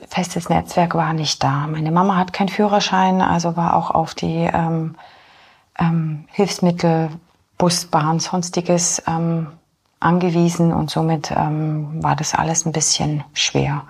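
A woman in her forties, born in Poland and raised in Germany, speaking as an interview guest in a hesitant way with many filler pauses. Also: fairly low pitch, breathy edge. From born in Poland, grew up in Germany. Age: 40s. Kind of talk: personal interview talk.

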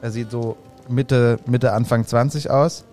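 Guy running a tabletop game, easygoing, lots of ums, soft music under it. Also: medium pitch, fairly even intonation, unhurried. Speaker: game master. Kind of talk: narrating a tabletop role-playing game.

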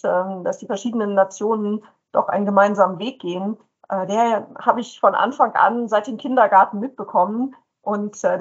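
A woman's voice: mid-range voice, slightly rough. A woman from Belgium joining by video call, earnestly telling her life story with a few fillers. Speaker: podcast guest. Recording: dull lo-fi video-call audio.

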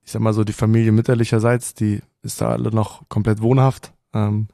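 A man's voice: fairly low pitch, little pitch movement, a little fast, vocal fry, warm tone, no room sound, clean studio sound. A man around thirty, raised bilingual in Danish and German, chatting loosely as a podcast guest with lots of fillers and small restarts. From Denmark and Germany. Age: 30s. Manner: loose, rambling storytelling with many fillers. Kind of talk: casual podcast conversation.

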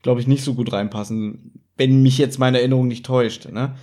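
A man's voice: mid-range voice, fairly even intonation, brisk, a little mellow. A man co-hosting a casual review chat, playfully arguing his side in a conversational way. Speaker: podcast co-host.

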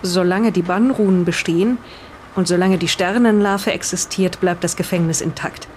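Someone voicing an earnest, defensive island resident in an improvised radio play, speaking deliberately as if reading aloud. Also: mid-range voice, fairly even intonation, slight background noise, natural voice. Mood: solemn reading.

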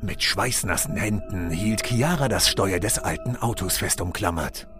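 A low male voice narrates a spooky fairy-tale audio play. His delivery is suspenseful and swings expressively in pitch, with music playing underneath. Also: lively intonation, a little slow. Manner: suspenseful storytelling.

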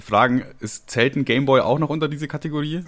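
A young man co-hosting a casual chat, relaxed and teasing, with plenty of slang. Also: mid-range voice, fast talker. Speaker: podcast co-host.

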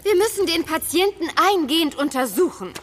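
A woman playing a clever, confident young girl adventurer in a children's audio drama, brisk and self-assured. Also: very high voice, animated, noisy background.

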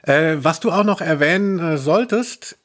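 Higher-voiced man in casual podcast talk. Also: animated, normal speed, a bit bright. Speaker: podcast host.

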